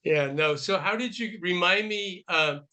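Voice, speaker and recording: A man's voice: high voice, a little slow, bright, forceful tone. A man hosting a podcast, asking rambling questions full of restarts. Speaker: podcast host. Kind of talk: casual interview questions.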